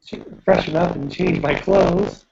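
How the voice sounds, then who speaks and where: A man putting on a gravelly French charmer. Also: high voice, fairly even intonation, unhurried, noisy background, exaggerated voice. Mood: courtly. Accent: French, put on. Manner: gallant, courtly. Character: smooth-talking Frenchman, adult man, flirtatious. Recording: dull lo-fi mic.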